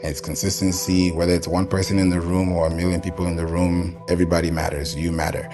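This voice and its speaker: A man's voice: sits low, level tone, fast talker, over music. A man who grew up in Kenya hosting a live audio room, welcoming listeners and thanking them often. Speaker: host.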